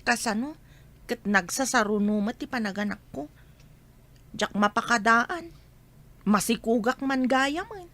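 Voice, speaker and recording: A woman's voice: on the high side, unhurried, long pauses, fairly bright tone. A woman acting a struggling young mother in a radio drama, plaintive and complaining as she pleads. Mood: weary, friendly.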